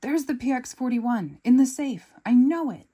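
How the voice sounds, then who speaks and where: A woman reading a storybook aloud, voicing an excitable, bald male ex-villain turned spy who talks high and loud. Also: moderate pace, husky. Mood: excited.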